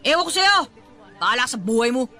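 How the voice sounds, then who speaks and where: A woman's voice: a little high, sing-song, fast, sharp tone, soft background music. A woman with a Filipino accent playing a distraught, defiant pregnant daughter in a radio-style drama, emotional and emphatic. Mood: cold, irritated.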